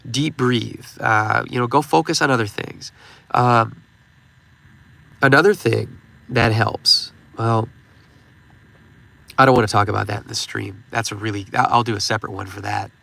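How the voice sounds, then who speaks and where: A man's voice: fairly low pitch, fairly even intonation, normal speed, creaky, long pauses, sharp tone. A man in his thirties with a stuffed-up nose, giving rambling casual advice as a channel host and leaning on "you know" fillers. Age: 30s. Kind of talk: casual advice talk on a stream.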